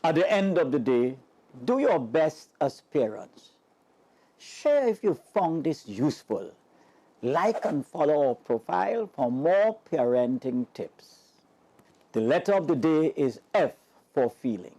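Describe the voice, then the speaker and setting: Slow, warm woman narrator. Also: low voice, big pitch swings. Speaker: parenting tips narrator. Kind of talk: scripted voice-over.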